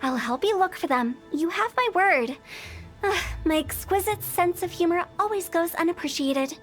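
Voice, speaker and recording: A mischievous young funeral director with a very high, bright voice. She teases, giggles and makes morbid jokes with glee. Faint game music sits behind her. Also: animated, normal speed. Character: playful, macabre young woman funeral director. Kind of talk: game cutscene voice acting.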